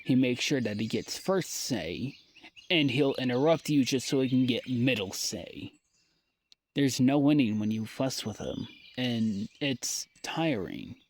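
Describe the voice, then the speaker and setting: Young man, weary and halting, unhurried audio-diary delivery, mid-pitched voice. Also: animated. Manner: weary, halting, trailing off with sighs. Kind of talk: personal audio diary monologue.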